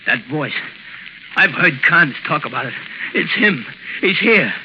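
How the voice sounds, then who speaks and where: A man playing a grumbling, easily spooked crook with rough slangy speech in a 1930s radio drama. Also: on the high side, expressive, a little slow. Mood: frightened. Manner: rough slangy complaining, stammers when frightened. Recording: noisy, muffled 1930s radio broadcast.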